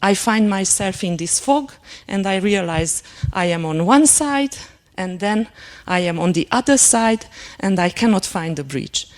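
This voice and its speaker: A woman whose first language is Hungarian, giving a lecture and telling a personal story in a halting, reflective way, with many fillers and restarts. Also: medium pitch, lively intonation, a little mellow. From Transylvania, Romania.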